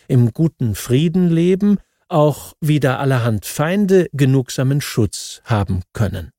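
A man reads a history book as scripted audiobook narration, in a measured, factual manner. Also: mid-range voice, creaky, mellow, no echo, studio quality.

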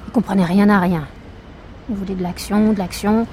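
Haughty, fussy female witness, played by an actress: condescending, prim, chatty, dark mellow timbre, outdoor traffic ambience behind. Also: mid-range voice, steady tone, normal speed, lightly acted. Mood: complaining, indignant. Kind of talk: naturalistic French fiction podcast acting.

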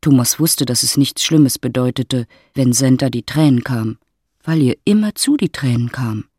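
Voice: mid-range voice, normal speed, a little mellow, breathy edge, dry recording, clean studio sound. A narrator reading scripted literary prose for an audio drama. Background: music.

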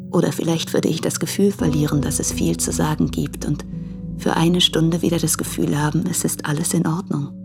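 An actress playing a tender, regretful woman addressing her former lover in a radio play, speaking in a reflective, restrained, deliberate way. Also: sits low, creaky. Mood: longing, intimate. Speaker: radio drama actor. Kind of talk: intimate literary German radio play monologue.

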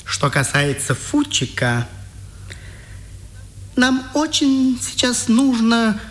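An actress playing a haughty, calculating official in a 1950s radio drama, declamatory and commanding. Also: female voice, a little high, sing-song, slow. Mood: cold, self-important. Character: haughty, calculating occupation official preaching a civilizing mission.

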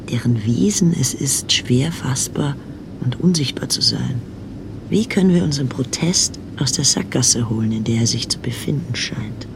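A disillusioned filmmaker narrates his quest in a radio drama. His voice is mid-pitched with a gravelly edge, reflective and questioning, over clear rumbling noise.

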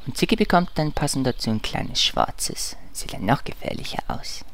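A man plays a vain, sinister pet owner in a comic audio drama. The creaky voice swoops about as it coos menacingly, over slight background noise. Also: mid-range voice, wildly swooping pitch, normal speed, a bit bright, heavily acted. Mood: cooing. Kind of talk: parody audio drama acting.

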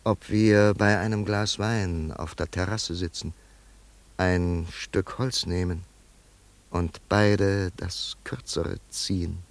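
An actor playing a wealthy, grieving older father in a German radio play, brooding and yearning in a halting monologue. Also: deep voice, fairly even intonation, creaky, no echo, full character voice. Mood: wistful, brooding. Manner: slow, halting, brooding delivery with sudden swells.

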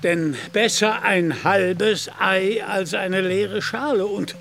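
An actor in a German radio play as a deluded, grandiose knight-errant, declaiming in lofty archaic phrases. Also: male voice, high voice, fairly even intonation, slow, gravelly, a bit bright, full character voice. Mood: lofty, self-satisfied. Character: pompous, deluded knight-errant, an ageing gentleman, lofty and fiery.

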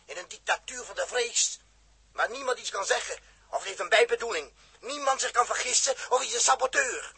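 A raspy, bitter, defiant spaceman. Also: very high voice, brisk, sharp tone, dry recording, full character voice. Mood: bitter, scornful. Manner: biting, scornful, theatrical delivery. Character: bitter, defiant, hot-headed male space crewman. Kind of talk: 1960s radio drama acting. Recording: dry old 1960s radio recording.

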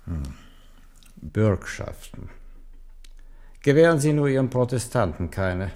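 An older man in a radio drama playing a bowed old papal legate, formal and deliberate, humble on the surface but coldly warning. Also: medium pitch, animated, darkish tone. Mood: veiled, admonishing. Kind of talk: 1970s German radio drama acting.

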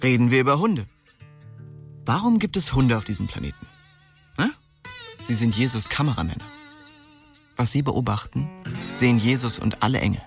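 A man's voice: medium pitch, natural voice. An actor playing a cocky, restless young man who narrates his story in a slangy, streetwise radio drama style. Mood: wry, philosophical. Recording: music behind the voice.

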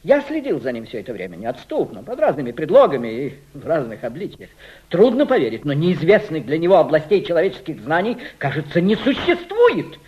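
An actor playing a solemn, awed gentleman in a radio play, declaiming emphatically with dramatic pauses. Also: a little high, huge pitch swings. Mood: awed, alarmed. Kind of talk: Russian radio play acting. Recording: dull old radio drama recording.

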